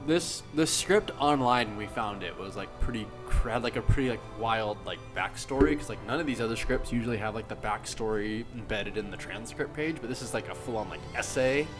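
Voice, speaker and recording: A man's voice: fairly low pitch, a little fast, hardly any pauses, over music. A man hosting a casual behind-the-scenes group chat, talking in a rambling, explanatory way. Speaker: host of a radio-drama group.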